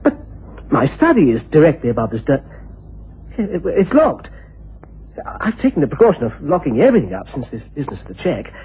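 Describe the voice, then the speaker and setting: Young English lord, high swooping voice, flustered, stammering, exclamatory, muffled old radio drama. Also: male voice, huge pitch swings, brisk, long pauses, husky, exaggerated voice. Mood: uneasy. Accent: upper-class English. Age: young adult. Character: flustered, anxious young aristocratic male college student.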